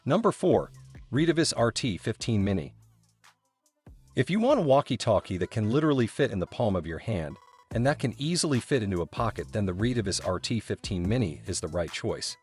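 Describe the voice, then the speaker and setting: A man with a fairly low, lively voice delivers scripted product review narration. His delivery is polished and promotional, rising and falling noticeably, over background music. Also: brisk, slightly rough. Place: voice-over recording.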